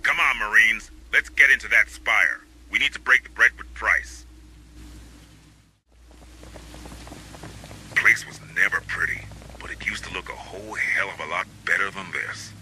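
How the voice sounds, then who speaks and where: A man's voice: lowish voice, moderate pace, few pauses, sharp tone, slight background noise, poor audio. A man playing a hardened, wry military squad leader in a video game, speaking in a terse, commanding, dry way. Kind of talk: video game cutscene acting.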